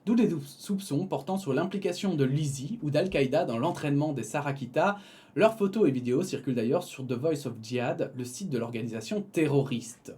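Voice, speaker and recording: A man's voice: a little high, lively intonation, a little fast, darkish tone. A young man reading a prepared text, breaking off for casual asides full of hesitations and small laughs. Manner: reads then digresses with fillers and laughs. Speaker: YouTube commentator.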